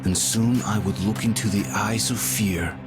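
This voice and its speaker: A man's voice: lowish voice, fairly even intonation, normal speed, natural voice. A man playing a brooding young post-apocalyptic survivor, narrating a video game solemnly and introspectively. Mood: somber foreboding. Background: music.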